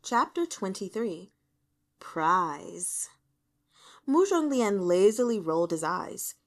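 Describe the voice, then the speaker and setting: Lady reading a fantasy novel out loud, dramatic storytelling, really animated pitch. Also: slow pace. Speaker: audiobook reader. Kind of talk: expressive scripted narration of a fantasy novel.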